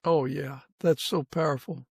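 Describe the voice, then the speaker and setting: A man hosting a casual interview, speaking in a halting, agreeable and affirming way. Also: on the high side, fairly even intonation, creaky, breathy edge, no room sound. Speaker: podcast host.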